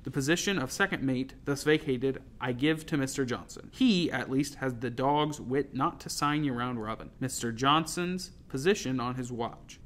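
A man's voice: mid-range voice, lightly acted. Harsh male sea captain for a seafaring audiobook, performed by a male narrator: tyrannical, sarcastic, commanding bark. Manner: barking, scornful, commanding. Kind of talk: audiobook character acting.

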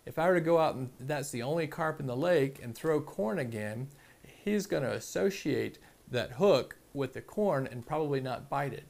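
Man, forties, gravelly voice, relaxed step-by-step how-to talk, small indoor room. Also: mid-range voice, normal speed. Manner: relaxed, explains step by step with frequent ums. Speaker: fishing channel host. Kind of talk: casual instructional explanation to camera.

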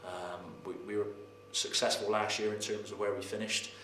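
A footballer in his thirties gives a post-match interview in a low, flat voice. He talks haltingly, often repeating words and pausing on "um". The sound is roomy with a faint noise behind. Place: press room in front of sponsor board. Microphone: camera mic, head and shoulders framing.

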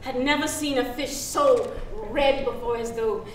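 A middle-aged woman playing a free young woman who solemnly recounts her birth at sea in a measured, halting stage monologue. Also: a little high, steady tone, lightly acted. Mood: solemn, tender. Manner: measured, halting, deliberate storytelling. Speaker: actress. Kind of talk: dramatic stage reading, solo storytelling monologue. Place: theatre stage. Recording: roomy stage pickup, distant, hissy ambience.

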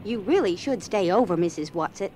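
A hospitable, kindly mother, played by a woman in an audio drama. Her intonation is lively, with clear noise behind the voice. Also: medium pitch, a little fast, natural voice. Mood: concerned. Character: warm, hospitable mother of young children.

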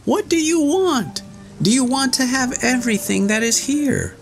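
A thumb-sized boy, bold and mischievous, squeaks and shouts in a high, lively voice, as a man puts him on. His pitch leaps far above the narrator's low voice, and faint music plays under the storybook reading. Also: a little slow, vocal fry, heavily acted. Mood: shouting. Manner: high, loud and cheeky.

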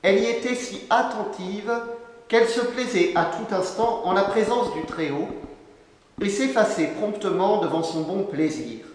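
A young man preaches from a lectern in a large church, his voice set high for a man. He reads in solemn, measured phrases. The sound rings with a very strong, long reverb from the stone hall. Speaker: canon preacher. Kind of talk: formal sermon read from a lectern.

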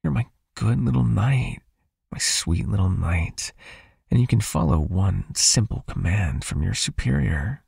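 A man playing a gravelly, seductive vampire master. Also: fairly low pitch, expressive, no room sound, studio quality, exaggerated voice. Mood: possessive, hypnotic. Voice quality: breathy, gravelly, murmuring. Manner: slow, coaxing, intimate, softly commanding. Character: seductive, possessive male vampire master, hypnotic and condescendingly tender. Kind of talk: ASMR hypnosis roleplay.

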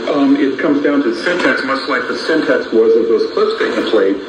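A man's voice: medium pitch, normal speed, roomy sound. A man giving conversational political analysis in an interview, heard over noisy shortwave radio. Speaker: interviewed commentator.

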